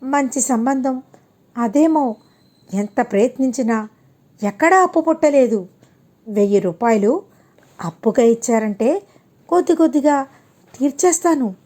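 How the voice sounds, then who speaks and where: A woman's voice: sits high, animated, unhurried, long pauses, warm tone. A woman voicing a poor, humble male village priest in a pleading, deferential manner for an audio storybook. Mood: sorrowful pleading.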